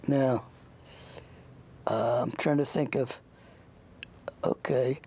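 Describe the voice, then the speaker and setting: Older host, raspy and gravelly, informal interview style, meandering and anecdotal. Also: mid-range voice, steady tone, warm tone. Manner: rambling, halting, anecdotal. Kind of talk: informal interview with a child guest.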